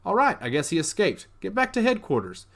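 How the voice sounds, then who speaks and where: A man's voice: high-pitched, wildly swooping pitch, a little fast, fairly bright tone, pitched above the performer's own voice, exaggerated voice. A man voicing a commanding, clipped male police soldier in a dystopian audiobook. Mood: businesslike.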